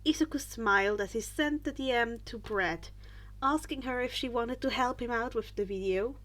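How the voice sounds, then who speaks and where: A woman reading fan fiction aloud as an expressive story narrator. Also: a little high, normal speed.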